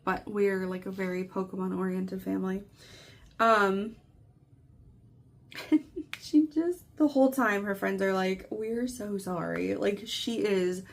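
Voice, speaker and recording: A woman in her thirties chatting casually and telling a rambling, meandering story with lots of filler phrases, like a vlogger catching up with viewers. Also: medium pitch. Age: 30s. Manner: chatty, meandering, frequent "like" and "you know". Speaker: craft vlogger.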